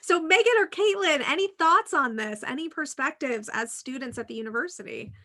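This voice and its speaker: A woman hosting a casual panel conversation, talking in an animated, emphatic way. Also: high-pitched, fairly quick. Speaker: podcast host.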